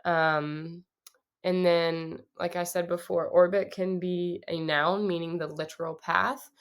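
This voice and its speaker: A young woman with a low, slightly gravelly voice talks slowly through her songwriting process. She thinks aloud with lots of pauses and fillers, casual and unhurried. Faint instrument music plays underneath. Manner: relaxed, thinking aloud with many ums.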